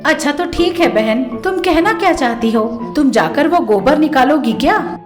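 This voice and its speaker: Mocking sister-in-law a woman plays her, mock-sweet sarcasm in a high, animated voice, for a comic village storybook tale. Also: normal speed, music in the background. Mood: sarcastic.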